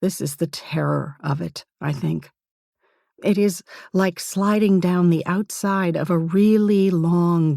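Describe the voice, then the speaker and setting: A woman narrates literary fiction in a low voice with a gravelly creak. She reads in an intimate, reflective way, taking her time over each phrase. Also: mellow, no echo, studio quality. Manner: measured, intimate, reflective reading. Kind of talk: audiobook narration of a first-person novel.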